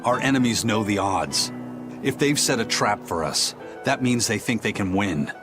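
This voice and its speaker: An actor voicing a stern, seasoned pirate captain in game dialogue, speaking in a measured, grave, analytical way. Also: male voice, lowish voice, animated, over music, close to an everyday voice. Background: game score.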